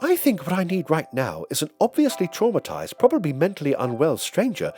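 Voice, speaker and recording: A man voicing a naive, lovestruck young woman thinking aloud in a mock-earnest, deliberate way for a comic film recap. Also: a little high, huge pitch swings, darkish tone, soft background music, pitched above the performer's own voice.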